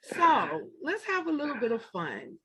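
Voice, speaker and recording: A woman's voice: high voice, wildly swooping pitch, a little slow, crowd noise, poor audio. A woman hosting a live-stream interview, encouraging her guest and speaking to the viewers. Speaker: interview host.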